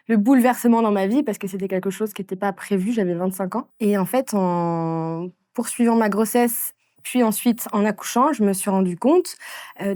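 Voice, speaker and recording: A woman in her thirties from France, telling her story in a casual interview, with frequent pauses and fillers. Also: medium pitch, darkish tone, dry recording, clean studio sound. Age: 30s. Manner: hesitant, many fillers and restarts. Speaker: interviewee, entrepreneur.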